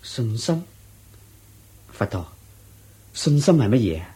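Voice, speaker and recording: A man's voice: mid-range voice, big pitch swings, slow, dark tone, slightly rough, full character voice. An actor playing a poor, devout, worried young husband and father in a radio drama, sighing and earnest, with a Hong Kong Cantonese accent. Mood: humble, earnest. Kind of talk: Cantonese-language Buddhist radio drama acting.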